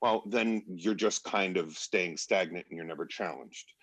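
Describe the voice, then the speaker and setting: Male actor in discussion, very deep and rough-edged voice, rambling delivery, dry recording. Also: unhurried, fairly bright tone, no echo. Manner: rambling, searching for words. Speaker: actor in a stage play. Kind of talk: unscripted panel discussion.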